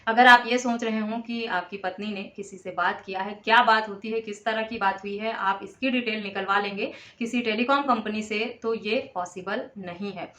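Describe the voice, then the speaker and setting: A woman giving a measured, instructive explanation to viewers, in the style of a legal awareness host. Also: mid-range voice, fairly even intonation, a bit bright. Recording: echoey, reverberant room.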